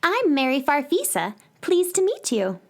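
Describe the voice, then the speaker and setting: A woman's voice: sits high, wildly swooping pitch, moderate pace. An actress playing an eager, friendly young girl adventurer in a children's radio drama, chirpy and polite.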